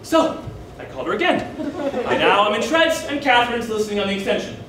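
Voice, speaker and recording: High-pitched frazzled groom ranting, acted by a man. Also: expressive, quick pace, a crowd in the background. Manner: exasperated, melodramatic, emphatic. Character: frazzled, hard-done-by groom ranting about his wedding. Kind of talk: theatrical stage monologue. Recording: echoey room, distant mic, faint noise.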